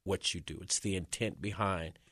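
Deep-voiced man speaking with conviction. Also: steady tone, moderate pace, fairly bright tone, breathy edge, no room sound, clean studio sound. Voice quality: deep strong voice. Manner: emphatic and preacherly. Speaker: formerly incarcerated speaker. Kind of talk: passionate advocacy talk.

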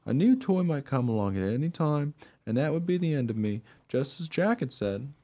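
A man's voice: medium pitch, sing-song, moderate pace, warm tone, lightly acted. A man playing an eager, naive mongrel dog who tells his own story in a radio play. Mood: earnest storytelling. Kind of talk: first-person radio play narration.

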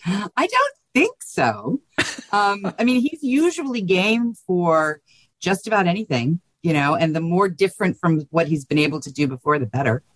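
A woman with a low voice answers as a guest writer in a casual interview. The sound is dry and close, and she talks in a thoughtful, measured way. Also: big pitch swings, no echo.

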